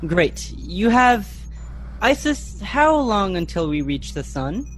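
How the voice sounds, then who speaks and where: A woman playing a stern young leader on a spaceship bridge in a radio play, terse and deliberate. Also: mid-range voice, big pitch swings, a little hiss or hum, poor audio. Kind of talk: sci-fi radio play acting.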